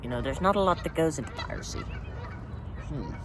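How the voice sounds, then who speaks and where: A man's voice: on the high side, wildly swooping pitch, moderate pace, noisy background. A man voicing a crude, swaggering, unwashed pirate in a comic monologue, rambling offhand and calling everyone "matey" in pirate slang.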